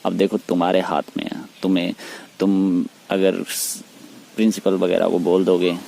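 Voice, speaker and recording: Deep gravelly pleading man, noisy call. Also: completely flat delivery, dark tone. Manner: pleading, halting, repetitive persuasion. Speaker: teacher. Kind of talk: private phone conversation. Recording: noisy phone call recording.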